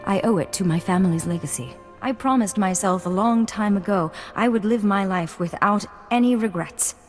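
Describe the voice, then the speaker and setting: A woman's voice: mid-range voice, normal speed, lightly acted. An actress playing a refined, flirtatious noblewoman in a video game cutscene, speaking with formal poise. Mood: wistful, composed. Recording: long hall reverb, music behind.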